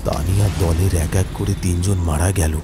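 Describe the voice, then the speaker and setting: Man acting low, brooding police investigator. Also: fairly even intonation, normal speed, heavy background noise, lightly acted. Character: grave, pondering police investigator, adult man. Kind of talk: cartoon horror voice acting. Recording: very loud music under the voice.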